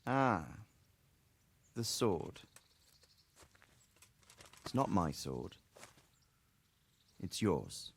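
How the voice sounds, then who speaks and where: An actor playing an easygoing middle-aged nobleman in a video game, a fatherly mentor who talks in an unhurried, wry, reflective way. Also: sits low, big pitch swings, slight background noise, exaggerated voice. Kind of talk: cinematic video game dialogue.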